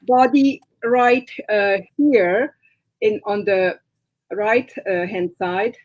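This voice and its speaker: A woman's voice: mid-range voice, lo-fi sound. A middle-aged woman from Romania, whose first language is Hungarian, patiently explaining her therapy work as a guest in a live video-call interview, with frequent fillers. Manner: explains slowly with many fillers.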